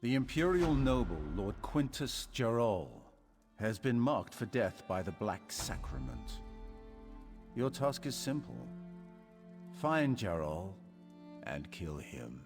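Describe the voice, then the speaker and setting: A sinister man who recruits assassins for a dark cult in a video game. His deep voice moves slowly and theatrically, rising and falling with silky menace. Soft music plays behind him. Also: very animated, full character voice. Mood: silky, commanding.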